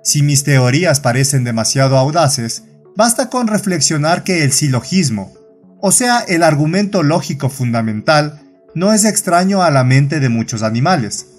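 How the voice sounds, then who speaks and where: A man reading a short story aloud as narrator, in measured, even scripted narration. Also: on the high side, soft background music.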